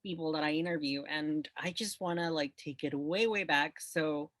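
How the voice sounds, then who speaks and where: A man's voice: on the high side, moderate pace, fairly bright tone, no room sound, studio quality. A man hosting an interview show, upbeat and chatty, laughing easily with his guest. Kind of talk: casual interview chat.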